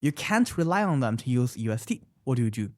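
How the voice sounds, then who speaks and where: Founder, thirties, really lively up-and-down voice, lots of "right?" and examples. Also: mid-range voice, brisk, dry recording, studio quality. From China. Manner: animated, emphatic, often adds "right?"; explains with many examples. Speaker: startup founder. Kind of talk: interview answers.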